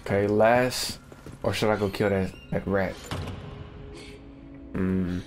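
A man's voice: lowish voice, slow pace, soft background music. A young man giving loose, profane let's-play commentary from a home gaming setup with a webcam. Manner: loose, slangy, profane, excitable. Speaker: gaming streamer.

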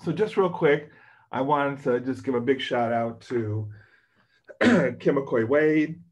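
A hoarse man giving unscripted welcome remarks as a government official on a video call, halting with many fillers and restarts. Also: medium pitch, a little mellow. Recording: muffled video call audio.

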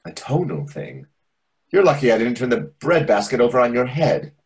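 Guy playing a huffy sarcastic waiter, super swoopy pitch, bit of a rasp, catty, stage comedy read on a video call. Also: sing-song, normal speed, exaggerated voice. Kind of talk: readers theatre comedy acting.

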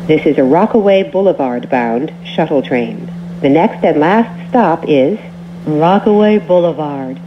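A woman reading scripted transit announcements as a train announcer, in short, measured, formal phrases. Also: sits low, expressive. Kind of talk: scripted automated station announcements. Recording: muffled recording with train noise.

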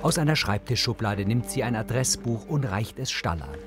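A man's voice: mid-range voice, expressive, normal speed, over music. A man narrating scenes in a neutral, descriptive audio-description style. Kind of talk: scripted narration.

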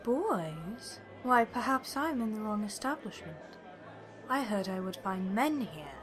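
A woman's voice: medium pitch, sing-song, a little slow, a bit bright, a faint crowd. A woman playing a haughty, feisty treasure-seeking adventurer in a fantasy game dub, cool and disdainful in manner.

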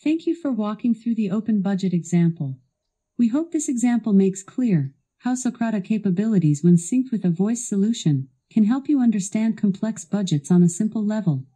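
Very bright synthetic-style female assistant voice. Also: medium pitch. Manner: clearly enunciated, instructional, polished. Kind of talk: scripted narration for a product demo.

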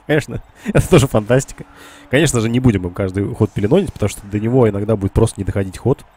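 A man's voice: lowish voice, a little mellow, husky. A man, congested and nasal from a cold, casually commentating a strategy game live for his chat and thinking aloud. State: sick with a cold. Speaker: game streamer.

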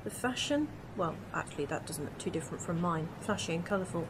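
A woman's voice: on the low side, lively intonation, quick pace, background noise. Woman playing curious young time-travel companion. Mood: amazed. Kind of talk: fan audio drama acting. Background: music.

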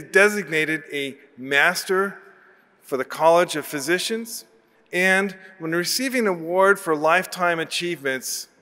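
A man in his sixties giving a formal, measured scripted introduction of a lecturer at a podium microphone. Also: on the high side, bright, forceful tone. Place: large auditorium stage. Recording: long hall reverb from PA.

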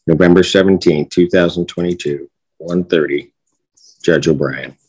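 A middle-aged American man speaking as a judge, giving formal courtroom rulings in a measured, deliberate way. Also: low-pitched, steady tone, darkish tone, husky, poor audio. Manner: measured, deliberate, official phrasing. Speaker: judge presiding over a court hearing. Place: courtroom bench on a video call.

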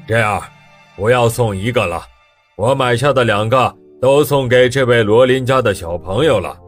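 A man's voice: mid-range voice, normal speed, natural voice. A man playing a boastful, greedy, hearty fat nobleman in an audio drama, with a blustering drawl. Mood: jovial. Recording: music behind the voice.

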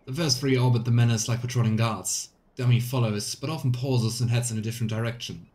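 A man reading aloud the journal of an earnest, methodical demon researcher in measured, clipped phrases for a video game. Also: medium pitch, steady tone, darkish tone, close to an everyday voice. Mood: earnest, studious.

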